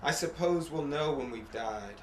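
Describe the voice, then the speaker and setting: A man reading poetry aloud in a measured, deliberate way, with pauses between short phrases. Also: on the high side, animated, darkish tone. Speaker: poet reading own work. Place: apartment room at a live reading. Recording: echoey room, faint background noise.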